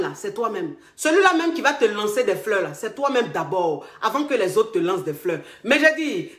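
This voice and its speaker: A woman's voice: high-pitched, a bit bright, echoey room. A woman with an Ivorian accent giving relationship advice straight to camera. She talks emphatically, repeats herself and calls viewers darlings, and she has a cold with a sore throat. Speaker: relationship coach. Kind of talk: direct-to-camera advice monologue.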